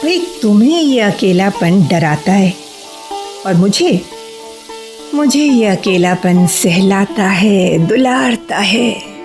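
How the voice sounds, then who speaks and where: An actress playing a reserved, solitary woman who cherishes silence, speaking in a measured, pensive, guarded way in a Hindi radio play. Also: medium pitch, animated, over music. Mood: reflective.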